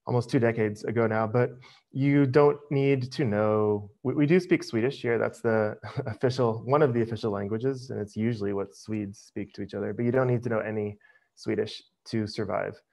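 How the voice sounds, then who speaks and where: A middle-aged American man giving a casual webinar presentation over a video call, relaxed and full of ums. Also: on the low side, normal speed, creaky. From United States. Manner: relaxed, many ums, friendly. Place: home office, video call. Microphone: headset.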